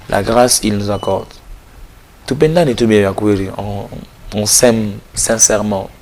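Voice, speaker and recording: A man's voice: lowish voice, darkish tone. A man, speaking as an interview guest with a cold, ending in an earnest, preachy appeal. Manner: earnest, exhorting, repeats phrases. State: has a cold. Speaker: gospel artist, interview guest. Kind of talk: casual interview talk, closing with a preachy appeal.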